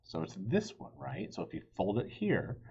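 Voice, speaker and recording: A man reading a children's story aloud with expressive narration. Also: mid-range voice, normal speed, vocal fry, slightly rough, dull audio. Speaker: storybook reader.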